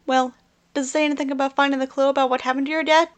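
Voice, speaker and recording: A woman's voice: high-pitched, little pitch movement, rapid-fire, lightly acted. A woman voicing a caring, level-headed young animal adventurer in an audio drama. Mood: concerned.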